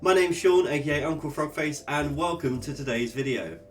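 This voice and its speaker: A man's voice: fairly high pitch, a little fast. A man hosting a casual drawing vlog, chatty and jokey as he sketches and talks. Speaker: art channel host. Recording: guitar music playing underneath. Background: guitar music.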